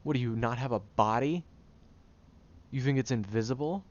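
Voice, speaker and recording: A man's voice: medium pitch, moderate pace, dull audio, lightly acted. A man playing a prickly, defensive young man who is sarcastic but secretly caring, in an audio roleplay spoken to the listener. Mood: flustered, indignant. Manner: gruff, sarcastic, flustered tsundere bickering.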